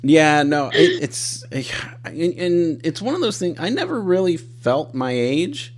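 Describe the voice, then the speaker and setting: A man's voice: a little high, big pitch swings, unhurried. Male podcast host, 30s, laid-back and self-mocking, loose conversational delivery. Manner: self-deprecating, rambling with restarts and laughs. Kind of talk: casual interview chat.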